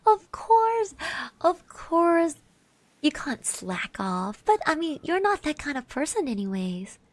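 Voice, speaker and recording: A young woman voicing a shy, kind eighteen-year-old girl classmate in an ASMR roleplay, soft and gentle, hesitant and reassuring, close to the mic. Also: high voice, very animated, takes it slowly, no room sound, heavily acted. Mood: gently encouraging. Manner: hesitant, bashful, stammering, reassuring. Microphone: close microphone.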